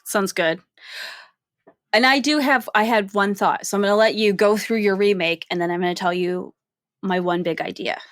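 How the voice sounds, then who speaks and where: A woman's voice: mid-range voice, moderate pace, no room sound, clean studio sound. A woman co-hosting a podcast in casual, informal chat, with a playful and reactive manner. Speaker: film podcast co-host. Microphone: studio microphone, close.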